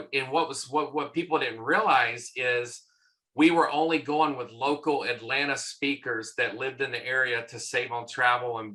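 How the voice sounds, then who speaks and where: Bright-voiced Southern man telling stories. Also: mid-range voice, low-quality recording. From American South. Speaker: church consultant and conference leader. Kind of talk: podcast interview guest.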